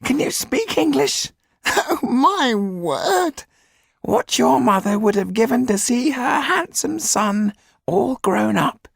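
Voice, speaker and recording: A man voicing a small, affectionate, excitable older man in an audiobook, with a high, husky, creaky voice and an emphatic, fussing manner. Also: slow, no room sound, studio quality, full character voice. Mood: delighted, affectionate. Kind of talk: audiobook character acting.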